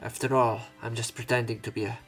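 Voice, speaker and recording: A man's voice: medium pitch, flat delivery, fast, poor audio. A man hosting a let's play, reading a game's narration aloud and commenting as he goes. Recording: game music behind the voice. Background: video game music.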